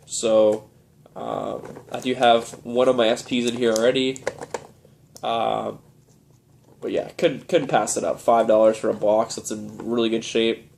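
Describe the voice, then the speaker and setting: A man's voice: mid-range voice, measured pace, gravelly, a little hiss or hum. A young man with a cold, sounding stuffed up and nasal, chatting casually through a collector's show-and-tell vlog with lots of "uh"s. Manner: relaxed, rambling, many "uh"s. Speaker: video game collector vlogger.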